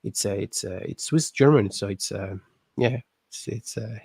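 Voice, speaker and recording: A man from Alsace with a French accent, hosting a casual livestream chat, halting and restarting often. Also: fairly low pitch, darkish tone, breathy edge, no echo. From Alsace, France. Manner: halting, many restarts and repeated words. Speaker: livestream host.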